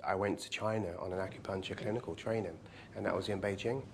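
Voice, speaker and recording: A man's voice: low voice, steady tone, fast talker, vocal fry, over music. A man in his forties from England, a practitioner of Chinese medicine, talking casually in an interview at his clinic, explaining his work with light humor. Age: 40s.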